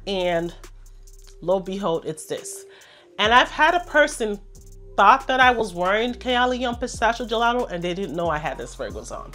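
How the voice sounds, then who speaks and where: A woman's voice: medium pitch, very animated, moderate pace, fairly bright tone, soft background music. Chatty woman with a head cold. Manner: chatty, enthusiastic, addresses viewers as "you guys". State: congested and a little hoarse from a cold. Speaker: fragrance reviewer. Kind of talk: casual product review to camera.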